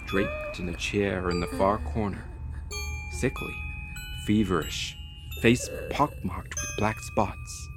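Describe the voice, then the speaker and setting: An actor playing a weary, solemn man who narrates his ordeal slowly and deliberately in an audio drama. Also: on the low side, full character voice.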